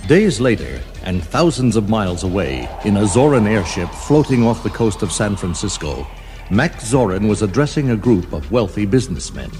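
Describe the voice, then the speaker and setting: A man's voice: fairly low pitch, very animated, normal speed. A man narrating a scripted children's adventure story as the storybook's reader. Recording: 1980s storybook cassette with music underneath. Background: background music.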